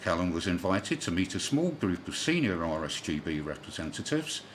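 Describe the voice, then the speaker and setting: A man's voice: low-pitched, sing-song, normal speed. A man reading a scripted amateur radio news bulletin as a newsreader, with the occasional slip. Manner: spells out callsigns in phonetic alphabet, occasional slips. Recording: clearly noisy shortwave radio broadcast.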